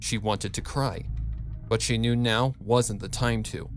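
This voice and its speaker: A man's voice: on the low side, steady tone, unhurried, background noise. A man giving a dramatic scripted narration of a story, as a fan fiction reader.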